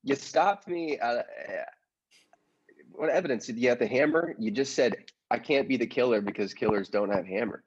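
A man with a husky voice acting in improvised comedy, halting and stammering. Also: medium pitch, highs cut off.

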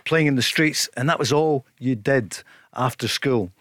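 A man's voice: medium pitch, a little slow, a bit bright, dry recording, studio quality. A man hosting a football radio show, talking in a chatty way and teasing his guests. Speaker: radio host. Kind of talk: casual radio chat. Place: radio studio.

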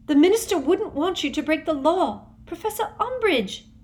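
A woman's voice: sing-song, normal speed. A woman reading an audiobook, voicing a frightened, clever teenage schoolgirl in a pleading, tearful way. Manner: pleading, tearful, high-strung.

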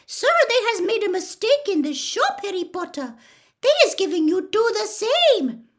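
Lady doing a tiny squeaky house elf guy from a storybook, super high, eager n humble, talks in broken third person. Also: very animated, normal speed. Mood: delighted.

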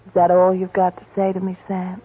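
A glamorous, pleading woman who coaxes in a husky, breathy voice. Her delivery is melodramatic, in 1940s radio style. The broadcast sounds thin and scratchy, like a tinny phone line. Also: lowish voice, completely flat delivery, a little fast. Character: glamorous woman, the detective's old flame, pleading.

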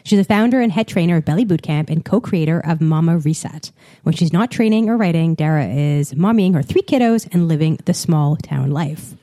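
A woman's voice: deep voice, lively intonation, fairly quick, dark tone, dry recording, studio quality. A woman who is a pediatrician co-hosting a podcast, talking in a direct, no-nonsense way. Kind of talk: podcast intro and casual chat.

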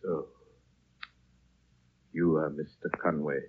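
An actor playing an ancient, gentle and learned High Lama, speaking with slow courtesy in a 1930s radio drama. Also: male voice, flat delivery, husky, exaggerated voice. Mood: courteous, frail. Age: older. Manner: slow, courteous, measured storytelling. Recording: muffled, narrow-band old radio broadcast.

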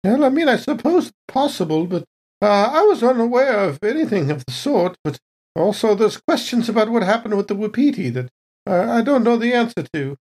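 A woman's voice: mid-range voice, very animated, brisk, a little mellow. A woman playing an evasive, genteel woman captain in an improvised role-play, speaking politely with hesitant hedging. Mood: flustered, vague.

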